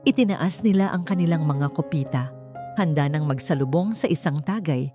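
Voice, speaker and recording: A woman's voice: lowish voice, a little mellow. A woman narrating a scripted dramatic love story as a storyteller.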